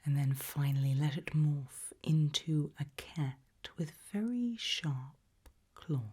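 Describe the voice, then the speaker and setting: Slow, breathy whispering woman. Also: low voice, animated. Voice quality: soft breathy whisper, mostly unvoiced. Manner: slow, deliberate, gently ominous interviewer. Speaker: ASMR roleplay host. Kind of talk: ASMR roleplay, whispered questionnaire.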